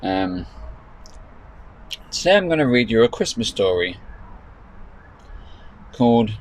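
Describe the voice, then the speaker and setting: A man reading his own story as dramatic narration, with measured, deliberate pauses. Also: fairly low pitch, sing-song, rough recording. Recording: faint background noise.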